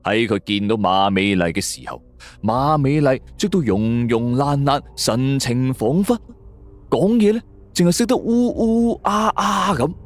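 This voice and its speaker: A man's voice: mid-range voice, unhurried. A man narrating a suspense story in a colloquial, dramatic storyteller style. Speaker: audiobook narrator.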